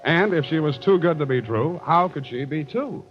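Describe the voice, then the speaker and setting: A man's voice: on the high side, lively intonation, moderate pace, lightly acted. An actor playing a wry, hard-boiled male private detective in a laconic 1940s radio style. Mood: puzzled, dry. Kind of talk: 1940s radio detective acting, narration and dialogue. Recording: muffled old radio recording, music behind.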